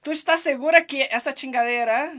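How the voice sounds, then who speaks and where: A woman's voice: high voice, a little fast, very noisy. A woman from Brazil with a Brazilian Portuguese accent, hosting a playful, joking casual chat. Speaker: host.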